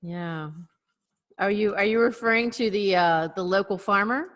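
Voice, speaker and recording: A woman's voice: mid-range voice, normal speed, a bit bright. A woman co-hosting a podcast over a video call, telling a story in a hesitant, wry way with many fillers. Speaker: podcast co-host. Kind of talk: casual storytelling chat.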